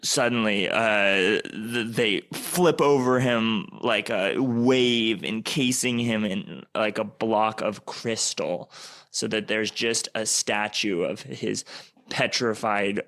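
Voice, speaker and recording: A man narrating an improvised radio play, haltingly piecing the scene together aloud as he goes. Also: lowish voice, a bit bright.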